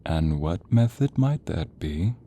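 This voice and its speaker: A man's voice: deep voice, lively intonation, slow, warm tone, heavily acted. Man acting a volatile, sadistic psychopath villain. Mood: suspicious, agitated. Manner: hissing threats, sudden outbursts, agitated. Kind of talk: theatrical audio roleplay acting.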